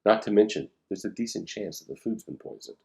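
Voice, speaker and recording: Storybook role: firm, logical girl spy read by a man, explanatory delivery in a low, soft-toned register. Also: animated, a little fast, gravelly. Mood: dry, confident. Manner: matter-of-fact, explanatory. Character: logical, firm, know-it-all schoolgirl spy. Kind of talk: storybook read-aloud dialogue.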